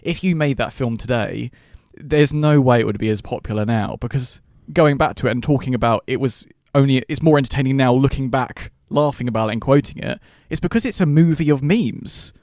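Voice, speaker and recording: A man's voice: medium pitch, brisk, a little mellow, no room sound, studio quality. A man co-hosting a podcast, talking informally and thinking aloud as he hedges his points in casual discussion. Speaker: podcast co-host.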